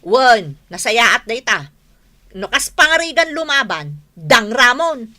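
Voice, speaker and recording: A scheming wife in an Ilocano radio play, who talks her husband into greed. Her voice is bright and forceful, a little high, with an Ilocano accent. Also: sing-song, takes it slowly, exaggerated voice. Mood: conniving. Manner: persuasive, conniving. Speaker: radio drama actress.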